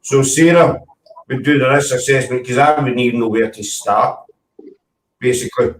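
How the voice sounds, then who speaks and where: A man's voice: mid-range voice, moderate pace. Jokey man in an echoey room. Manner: jokey, rambling banter. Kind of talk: casual video chat. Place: at home. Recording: echoey room, far from the mic, faint noise.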